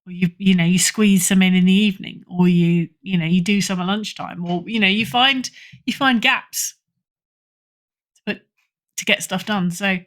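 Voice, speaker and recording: A woman giving casual interview answers as a podcast guest and editor, thoughtful, pausing for emphasis. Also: medium pitch, a bit bright.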